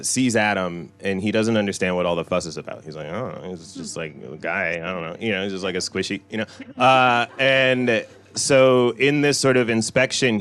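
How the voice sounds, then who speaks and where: A man in his thirties with a very low voice talks candidly at a bookstore event. He rambles loosely, filling his sentences with "right?" and "you know". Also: fairly bright tone. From Iran. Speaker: author at a book talk. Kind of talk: candid conversational talk.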